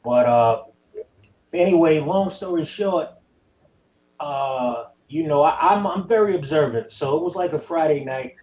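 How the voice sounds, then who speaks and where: Animated New man on a call. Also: a little high, measured pace, roomy sound, dull audio. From New York. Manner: animated storyteller. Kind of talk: casual chat.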